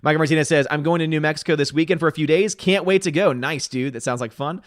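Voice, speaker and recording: A man's voice: a little high, no echo, clean studio sound. A man in his thirties hosting a livestream, reading viewer comments aloud and giving his opinions in a chatty, opinionated way, his voice a bit tired. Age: 30s. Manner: chatty, opinionated, quick asides. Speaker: livestream host reading chat. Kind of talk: casual livestream chat.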